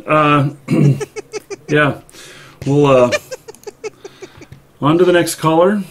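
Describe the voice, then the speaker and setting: A man hosting a live show, chatting off the cuff with callers in a polite, halting and hesitant way. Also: fairly high pitch, a little hiss or hum. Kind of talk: unscripted call-in show chat.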